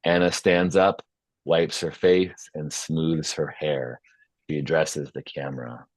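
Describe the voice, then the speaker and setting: A man's voice: very deep voice, steady tone, slow, no echo. A man speaking as host and narrator of an informal script table read. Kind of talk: stage-direction reading and casual feedback discussion.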